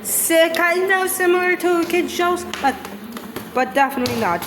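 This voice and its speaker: Echoey, high-voiced woman reacting bluntly. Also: moderate pace. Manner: blunt, sweary, dismissive. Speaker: reaction video host. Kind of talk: casual reaction commentary. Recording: echoey room with reverb, faint noise.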